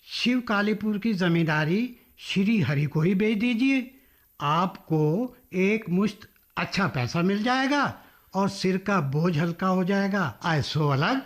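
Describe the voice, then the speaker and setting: A man's voice: sits high, animated, slow pace. An actor playing a wily, obsequious middleman in a radio drama, deferential and persuasive as he schemes. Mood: fawning, persuasive. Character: wily, obsequious middleman coaxing landlord and buyer. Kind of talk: Hindi radio drama acting.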